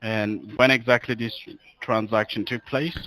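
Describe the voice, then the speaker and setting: Bright-voiced, polite call-center man. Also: fairly low pitch, fairly even intonation, measured pace, dry recording, poor audio. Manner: polite, formal, procedural. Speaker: phone scammer posing as bank fraud agent. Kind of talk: scripted call-center talk.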